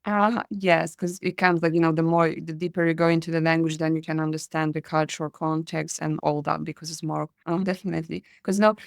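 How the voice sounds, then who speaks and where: A woman's voice: low voice, level tone, fairly quick, vocal fry, few pauses, no echo, clean studio sound. A woman from Poland, hosting a podcast interview and asking long, chatty questions. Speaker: podcast host. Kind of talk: conversational interview.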